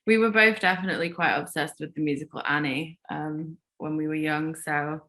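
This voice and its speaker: A woman's voice: deep voice, steady tone, a little fast, bright, forceful tone. A woman musician from Yorkshire answering interview questions in a friendly, self-deprecating way. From Yorkshire, England.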